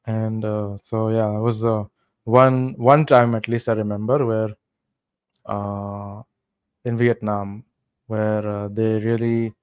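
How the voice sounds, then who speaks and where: Young indian guy telling travel stories on a podcast, low smooth voice, dry close mic. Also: slow pace, no room sound. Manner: relaxed storytelling with many fillers. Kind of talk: casual podcast chat.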